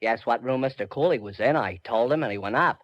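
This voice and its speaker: A flustered, talkative hotel clerk from an old radio play, acted by a man. His medium-pitched voice is hesitant and bewildered. The recording is dry and dull. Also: fast talker, dry recording, natural voice. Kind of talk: old-time radio drama acting.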